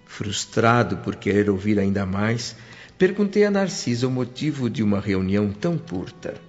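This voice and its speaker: A man's voice: fairly low pitch, sing-song. Reverent male narrator, Brazilian, over music. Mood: reverent wonder. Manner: measured, reverent, formal. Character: André, earnest grateful newcomer man telling his story. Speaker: narrator and protagonist. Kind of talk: dramatized radio serial narration. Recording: dull radio-drama recording with music.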